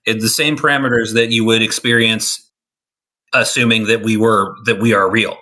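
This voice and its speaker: A man talks through his ideas at length in a relaxed podcast chat. His voice is bright and forward, and it is a little worn from losing it. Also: on the low side, moderate pace. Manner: thoughtful, explains at length with restarts. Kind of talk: casual discussion.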